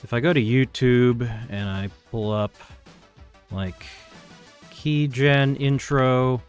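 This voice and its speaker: A man's voice: medium pitch, slow pace. Man live-streaming code over keygen music. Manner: sweary, informal muttering to himself. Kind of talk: casual live coding commentary. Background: chiptune-style keygen music.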